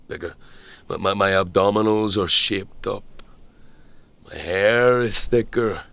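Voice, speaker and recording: Halting, low, gravelly young-sounding man. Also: fairly bright tone, exaggerated voice. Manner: halting, stammering, searching for words. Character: uneasy, self-deprecating man changed by mystical blood. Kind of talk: audio drama acting, naturalistic dialogue.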